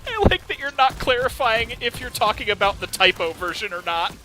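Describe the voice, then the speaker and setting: A man's voice: sits high, a little fast, over music, poor audio. A man with a Connecticut accent streaming a game with friends, joking and laughing often. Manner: excitable, laughs often, jokey. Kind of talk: casual gaming stream chat.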